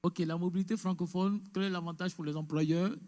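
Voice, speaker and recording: A man's voice: high voice, fairly even intonation, fairly quick, darkish tone. A man giving an information session, explaining step by step and mixing English words into French. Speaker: immigration presenter. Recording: echoey hall.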